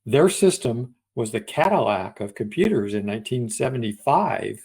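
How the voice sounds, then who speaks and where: A man's voice: medium pitch, sing-song, moderate pace, gravelly, darkish tone, husky. A man co-hosting a casual, conversational discussion of computer history. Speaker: podcast co-host.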